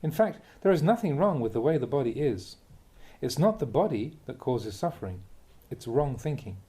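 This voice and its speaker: A man reading a Buddhist teaching aloud as a Dhamma talk, in measured, deliberate phrases with emphatic contrasts. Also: mid-range voice, very animated, warm tone.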